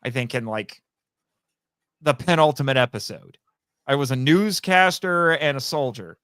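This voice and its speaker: A man's voice: medium pitch, normal speed, a bit bright, smooth, dry recording, studio quality. A man talking casually and enthusiastically as a podcast guest, chatty and often correcting himself as he explains. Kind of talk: casual enthusiastic interview talk.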